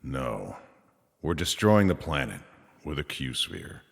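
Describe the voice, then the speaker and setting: A man playing a curt, menacing sci-fi commander with a gravelly, clipped, authoritative delivery in an audio drama. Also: very deep voice, very animated, slow pace, full character voice. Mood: stern, commanding. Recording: long reverberant hall echo.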